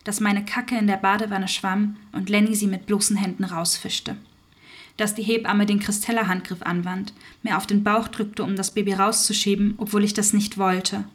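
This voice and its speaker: A woman's voice: medium pitch, level tone, normal speed, roomy sound, natural voice. A woman voicing an outspoken young mother. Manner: forceful, outspoken. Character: outspoken young mother recounting her birth. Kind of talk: theater radio play monologue.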